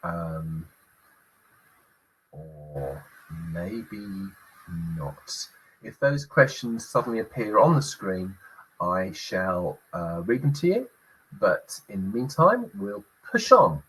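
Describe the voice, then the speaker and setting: A middle-aged man hosting a business talk show, speaking with a croaky voice as he recovers from illness, hesitant with many fillers. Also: lowish voice, sing-song, slow pace, fairly bright tone, rough recording. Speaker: host.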